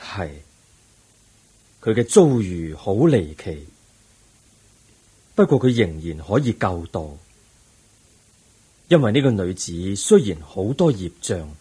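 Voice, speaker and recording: An actor playing a calm, all-knowing Buddha in a Cantonese radio drama, solemn and compassionate, with a breathy voice and a Hong Kong accent. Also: male voice, fairly low pitch, slow pace, pauses often, heavily acted.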